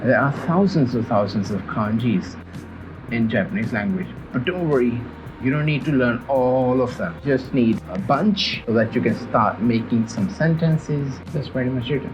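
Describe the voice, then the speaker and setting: A man's voice: medium pitch, lively intonation, normal speed, music in the background, rough recording. A man from Pakistan whose first languages are Urdu and Punjabi, earnestly teaching a casual beginners' video lesson and often repeating himself.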